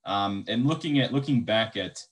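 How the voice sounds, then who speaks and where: A man's voice: lowish voice, lively intonation, moderate pace, poor audio. Young man, casual, on a video call. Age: young adult. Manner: casual, friendly, with fillers. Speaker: medical graduate, alumnus. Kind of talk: online info session talk.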